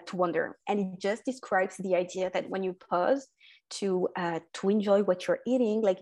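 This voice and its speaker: A woman, talking as a guest in a casual interview, halting with many restarts and fillers and laughing often. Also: mid-range voice, a little mellow. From France. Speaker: guest, food entrepreneur.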